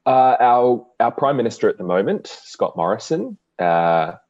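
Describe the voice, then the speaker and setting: A young Australian man with an Australian accent, chatting as a podcast guest in a relaxed way with dry humor. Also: medium pitch, measured pace, no echo, lo-fi sound. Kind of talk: casual interview.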